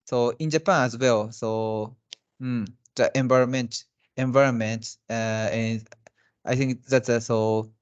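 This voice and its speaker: Halting man answering webinar questions. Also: mid-range voice, fairly even intonation, smooth, muffled recording. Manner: halting, filler-heavy non-native English. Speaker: company representative presenting jobs in Japan. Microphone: video call.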